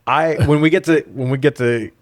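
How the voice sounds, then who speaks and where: A man's voice: mid-range voice, fairly quick, no room sound, studio quality. A man co-hosting a podcast, casually recapping and riffing with incredulous, sweary humor. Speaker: podcast co-host. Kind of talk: casual comedic film recap and chat.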